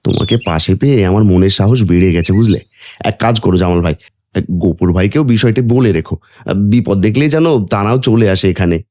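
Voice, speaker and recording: A man's voice: on the low side, animated, quick pace, darkish tone, natural voice. A man voicing a worried, hardworking village father in a moral-story cartoon, earnest and mildly wry. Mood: grateful, earnest.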